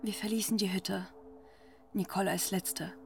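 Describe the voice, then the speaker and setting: Actress as grave, measured female narrator. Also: mid-range voice, fairly even intonation, gravelly, a little hiss or hum, lightly acted. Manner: measured, literary. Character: former gang member gravely recalling the story. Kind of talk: dramatic first-person radio drama narration.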